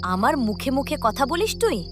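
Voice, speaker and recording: A woman's voice: sits high, sing-song, moderate pace, music in the background. A woman playing a scheming, harsh mother in a cartoon story, scolding sharply. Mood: angry scolding. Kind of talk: villainous cartoon story acting.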